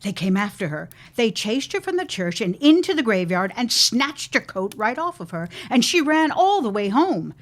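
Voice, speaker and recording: A woman's voice: on the high side, sing-song, moderate pace, hardly any pauses. An actress playing a woman who tells an eerie ghost tale in a grave, suspenseful, theatrical way for an audio drama.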